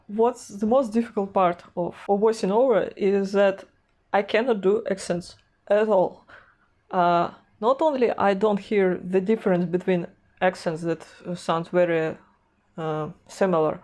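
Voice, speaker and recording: A woman's voice: medium pitch, darkish tone. Young woman with a Russian accent, hesitant. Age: young adult. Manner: hesitant, many fillers and restarts, laughs at herself. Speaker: artist and animation vlogger. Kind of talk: casual talk to camera. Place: home room with a gaming chair, indoors.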